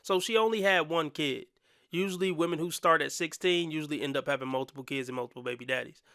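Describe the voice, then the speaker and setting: Guy ranting over a clip, slangy, bright voice a bit high. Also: fast, dry recording, clean studio sound. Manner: blunt, opinionated, slangy. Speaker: commentary channel host. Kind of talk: reaction commentary.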